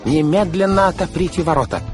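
Stern, flustered male guardian, done by a man narrator: high-pushed exclamatory voice, pompous, audiobook drama over clear music. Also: big pitch swings, quick pace, natural voice. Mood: flustered, shouting. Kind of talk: theatrical audiobook character acting.

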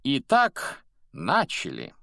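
A man's voice: lowish voice, expressive, measured pace, dry recording, studio quality. A man voicing a solemn yet whimsical elderly wizard headmaster in an audiobook, with ceremonious, declamatory delivery. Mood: jubilant. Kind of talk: audiobook character voice.

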